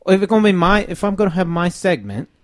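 A man's voice: high-pitched, very animated, quick pace, no echo. Man putting on a hammy, swooping British accent. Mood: fussy. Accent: put-on British. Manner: hammy, playful. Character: hammy Englishman with a wandering, put-on British accent. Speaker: podcast co-host.

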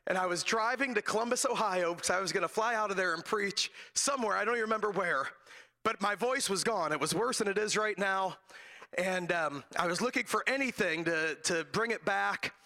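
A raspy, hoarse man preaches from the pulpit, his voice pitched high and bright. He spins a folksy personal story and breaks into laughter again and again. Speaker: guest preacher. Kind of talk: sermon with humorous personal story. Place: church sanctuary, from the pulpit. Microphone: handheld microphone.

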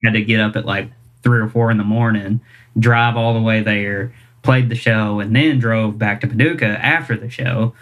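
Male guest, lower register, loose self-mocking storyteller. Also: fairly even intonation, moderate pace, a bit bright, low-quality recording. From Kentucky, US. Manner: rambling, self-deprecating, many fillers and chuckles. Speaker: singer-songwriter guest. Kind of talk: casual interview chat.

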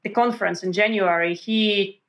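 Animated woman guest. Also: medium pitch, moderate pace. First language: Russian. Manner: animated, many restarts and fillers, acts out quotes. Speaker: author guest. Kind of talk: conversational interview storytelling.

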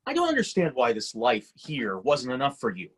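An actor playing a weary, resentful grown son in a dramatic table read, with a slightly husky voice and a halting delivery. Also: male voice, medium pitch, wildly swooping pitch. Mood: sarcastic, hurt. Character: resentful, weary grown son confronting his absent father. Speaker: actor in a screenplay reading.

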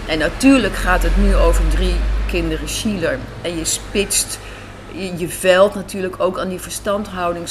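A woman answering as an interviewed writer and director, reflective and emphatic, repeating phrases for emphasis. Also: lowish voice. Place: café interview. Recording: some background noise and ambience.

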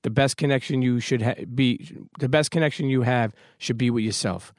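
Man, podcast host, emphatic pep talk, casual swearing, medium pitch, dry close sound. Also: fairly quick, dry recording.

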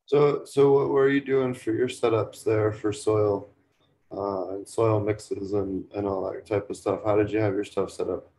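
A man's voice: lowish voice, fairly even intonation, measured pace, mellow. A man interviewing a guest, asking casual questions and repeating words.

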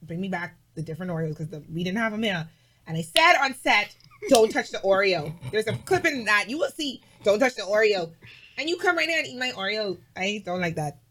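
A woman vlogger chatting casually as an interview guest, emphatic and animated, with lots of "like". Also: mid-range voice, brisk, slight background noise. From Bahamas.

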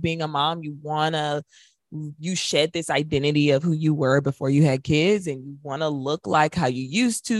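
A woman's voice: sits low, darkish tone, no echo, studio quality. A woman chatting casually as a guest on a talk show, conversational and peppering her sentences with "like". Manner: conversational, uses "like" very often. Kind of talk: casual chat.

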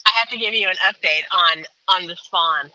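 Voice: medium pitch, normal speed, pushed and bright, dull audio. A caller giving a family update in casual, chatty, matter-of-fact storytelling. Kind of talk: casual phone chat.

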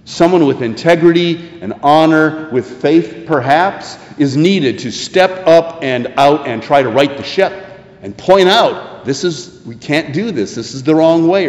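An American man preaching a sermon in an earnest, deliberate way, leaning on key phrases. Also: on the high side. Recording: echoey hall with long reverb.

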